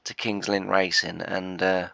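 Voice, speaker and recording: A man with a croaky, bunged-up voice from a chest cold, rambling through casual gameplay commentary with lots of hesitations. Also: low-pitched, flat delivery, measured pace, sharp tone, no room sound, highs cut off. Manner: rambling, hesitant, full of "uh" and restarts. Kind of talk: casual let's-play commentary.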